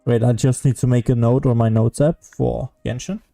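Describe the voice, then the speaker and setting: A man's voice: mid-range voice, steady tone, moderate pace, gravelly, mellow, faint music behind. A man casually streaming a video game, musing aloud as he comments to his chat. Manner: musing aloud, hums to self.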